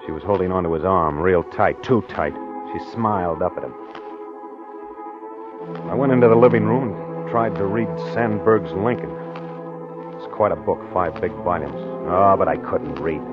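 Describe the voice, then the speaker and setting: An actor playing a jealous, tormented newlywed husband in an old-time radio drama, brooding, earnest and emotionally wrought. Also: male voice, sits low, moderate pace, lightly acted. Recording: muffled old radio recording with music.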